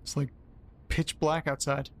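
Slow, halting, frightened man acted out by a man. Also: on the high side, flat delivery. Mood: bewildered, uneasy. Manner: halting, repeats himself, swears. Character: frightened, hungover man alone in a dark, silent world. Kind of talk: audio drama monologue.

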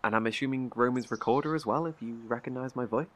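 A man's voice: mid-range voice, fast, close to an everyday voice. An actor playing a wary, guilt-ridden male survivor in an audio drama, terse, guarded and defensive. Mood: wry.

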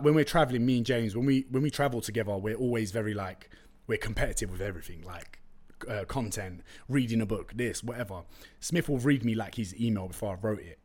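A young man with a London accent, whose first language is Turkish, tells stories on his own podcast. He talks in a loose, chatty way, full of slang and jokey asides, and his voice sits at an ordinary middle pitch. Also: a little fast. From East London, England. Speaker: podcast host. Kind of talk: casual solo podcast storytelling.

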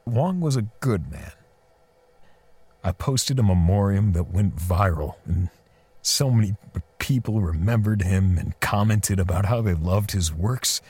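Man playing a deep-voiced, brooding artist. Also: expressive, darkish tone, slightly rough, no echo. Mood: somber. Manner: halting, with sighs and pauses. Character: bitter, defensive male portrait artist hiding guilt. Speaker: voice actor in an audio drama. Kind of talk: audio drama dialogue and first-person narration.

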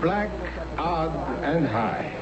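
An actor playing a formal, impersonal casino croupier chanting table calls in a 1970s radio drama. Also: male voice, fairly high pitch, sing-song, very slow, full character voice. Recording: very noisy, muffled vintage radio recording.

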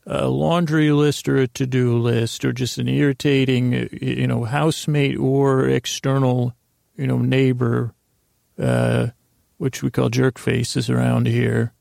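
A man with a creaky voice hosting a bedtime podcast in a meandering, halting monologue that stammers and interrupts itself with gentle humor. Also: mid-range voice, little pitch movement, dry recording, studio quality. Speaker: podcast host.

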